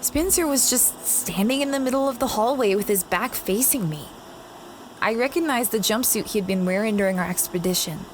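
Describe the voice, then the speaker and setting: A woman's voice: on the high side, brisk, slight background noise, lightly acted. A woman playing a space explorer who recounts a strange expedition in a conversational, first-person audio drama style. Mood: tense, uneasy. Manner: reflective, conversational storytelling.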